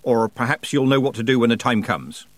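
A man plays a stern old witch woman from a storybook. She is curt and stubborn, with an expressive voice that sits close and dry. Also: mid-range voice, fairly quick, no echo, natural voice. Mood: gruff, defensive.

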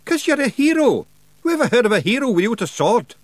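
A man's voice: extremely high pitch, very animated, a little fast, dry recording, pitched above the performer's own voice, full character voice. A man voicing a tiny, boisterous male fairy warrior chief with a broad Scottish accent in an audiobook. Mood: blustering. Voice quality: broad dialect, blustering and cheerful. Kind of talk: audiobook character voice.

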